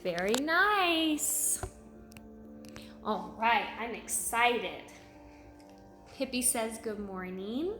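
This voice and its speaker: A woman's voice: on the high side, animated, very slow, long pauses, sharp tone, reverberant, soft background music. A woman preschool teacher reading aloud to young children, encouraging them with simple repeated phrases and questions.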